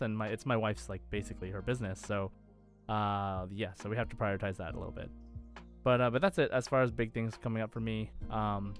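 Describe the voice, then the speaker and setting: A man hosting a podcast in a casual, chatty style with an upbeat, enthusiastic manner. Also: fairly low pitch. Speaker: podcast host.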